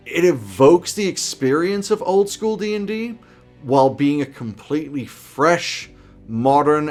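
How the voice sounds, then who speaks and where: A man in his thirties presenting scripted commentary to camera in an emphatic, enthusiastic way. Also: on the high side. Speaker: tabletop roleplaying video host. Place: small home office, indoors. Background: background music.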